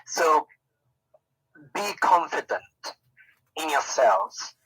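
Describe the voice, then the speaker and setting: A man's voice: fairly high pitch, very animated, extremely slow, sharp tone, slightly rough, dry recording, rough recording. A middle-aged man from Zambia giving an unscripted talk as a university department head, friendly and informal, with many fillers and restarts. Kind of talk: unscripted presentation.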